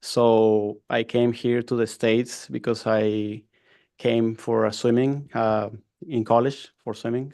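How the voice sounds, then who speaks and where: A man's voice: medium pitch, darkish tone, clean tone, no room sound. A man from Mexico with a Mexican accent, a youth coordinator speaking earnestly at a city commission meeting. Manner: earnest, plain-spoken. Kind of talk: public comment at a city meeting.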